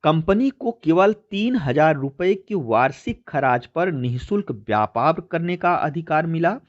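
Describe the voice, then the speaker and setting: A man's voice: on the high side, animated, measured pace, lo-fi sound. A man presenting an exam-prep lesson as a teacher, explaining answers and often posing questions that he then answers himself. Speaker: teacher presenting a history quiz.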